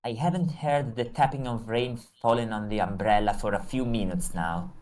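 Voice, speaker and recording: A woman reading a visual novel's dry, exasperated protagonist in an expressive storytelling manner. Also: rumbling low, moderate pace. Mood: narrating, dry. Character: dry, exasperated narrator Hikaru, reluctant roommate.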